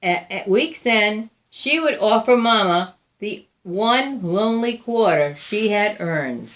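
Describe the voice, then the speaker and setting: A woman reading a children's picture book aloud with deliberate, expressive phrasing. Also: medium pitch. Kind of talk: read-aloud children's storytelling.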